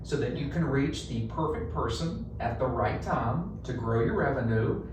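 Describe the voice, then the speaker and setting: A middle-aged man with a North Carolina Southern accent talks in a folksy, animated way, spinning stories with a drawl. He sits some distance from the mic, so his voice rings around a very echoey room. A clear layer of background noise runs under him. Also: medium pitch, moderate pace. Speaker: marketing agency co-founder, guest. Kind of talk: conversational podcast interview. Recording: roomy echo, distant mic, noisy.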